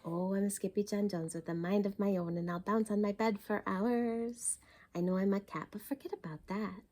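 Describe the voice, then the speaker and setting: A woman voicing an excitable little storybook kitten who plays a heroic sword-fighting dog, with dramatic, Spanish-sprinkled exclamations. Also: mid-range voice, normal speed, natural voice. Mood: playful.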